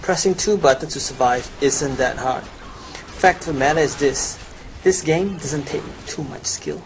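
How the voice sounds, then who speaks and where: A man's voice: fairly high pitch, measured pace. A young adult man giving a scripted promotional voice-over as a gamer presenting his guide. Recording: clearly noisy home recording.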